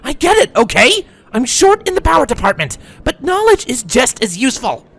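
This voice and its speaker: A man's voice: very high-pitched, huge pitch swings, moderate pace, gravelly, a bit bright, pitched above the performer's own voice, full character voice. A man voicing a bookish, defensive young unicorn colt who grumbles and snaps when bothered. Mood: defensive, exasperated. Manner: grumbling through clenched teeth, snappish.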